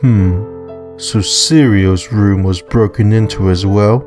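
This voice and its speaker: A man's voice: lowish voice, steady tone, slow, darkish tone, faint music behind. A man voicing a big, mild-mannered male animal in a visual novel, subdued and thoughtful. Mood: uneasy, pensive.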